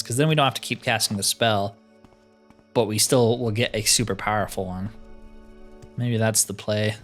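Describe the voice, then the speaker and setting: A man's voice: lowish voice, fairly bright tone. A man giving casual playthrough commentary as a video game host, thinking aloud with self-deprecating humor. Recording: music behind the voice. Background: game music.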